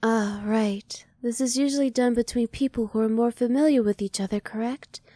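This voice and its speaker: A woman voicing a curious, emotionally reserved alien scientist in an ASMR roleplay, speaking softly in measured, formal phrases. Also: a little high, no echo, studio quality, lightly acted. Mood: curious, awkward. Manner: soft, measured, formal, restrained. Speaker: voice actor.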